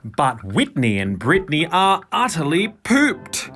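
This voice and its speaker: A man reading a children's picture book in verse, with expressive, dramatic, rhythmic narration. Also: on the high side, unhurried.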